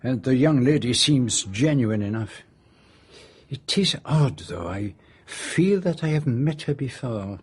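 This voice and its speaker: An actor playing a proud, middle-aged Belgian detective in a radio drama, with a French-speaking Belgian accent and a formal, deliberate manner. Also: male voice, medium pitch, animated, husky. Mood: thoughtful, probing. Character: precise, proud Belgian detective, brooding then urgent.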